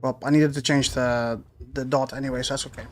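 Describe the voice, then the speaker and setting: A man streaming a game live, rambling casually and thinking out loud as he plays and answers chat. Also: mid-range voice, flat delivery, brisk. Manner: rambling, laid-back, thinks aloud with fillers.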